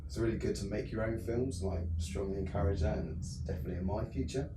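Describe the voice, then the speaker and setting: A man's voice: fairly low pitch, fast, background noise. A young adult man from England, a filmmaker guest in a casual interview, talking hesitantly with many fillers and restarts. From southern England. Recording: roomy, distant mic.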